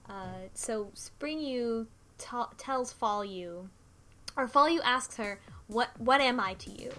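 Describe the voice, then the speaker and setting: A woman co-hosting a talk show, casually retelling a story in a halting, conversational way. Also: on the high side, fairly bright tone, soft background music. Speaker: podcast co-host. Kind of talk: casual recap discussion of a story.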